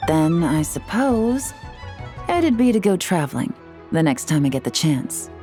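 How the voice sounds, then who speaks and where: Cool, low-voiced, composed investigator. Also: female voice, sing-song, warm tone, faint music behind. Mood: wry, amused. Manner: measured, wry, self-possessed. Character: cool, composed, shrewd female investigator in her prime. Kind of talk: video game voice acting.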